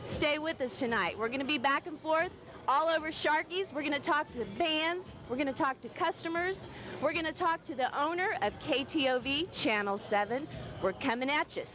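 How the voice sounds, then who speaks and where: A woman's voice: high-pitched, poor audio. A woman hosting a local TV show on location, chatty, playful and teasing her guests. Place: busy downtown bar with live music. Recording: loud bar music behind.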